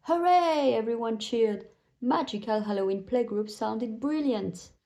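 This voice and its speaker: A woman's voice: mid-range voice, animated, measured pace, warm tone. A woman narrating a children's bedtime story aloud, telling it expressively for young listeners.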